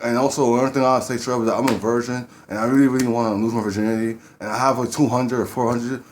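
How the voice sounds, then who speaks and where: Casting: man, rapid rambling delivery, blunt slangy advice-giver, mid-pitched voice. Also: flat delivery, slight background noise. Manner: rambling, repeats himself, blunt street slang. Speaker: dating advice vlogger. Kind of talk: casual talk to camera.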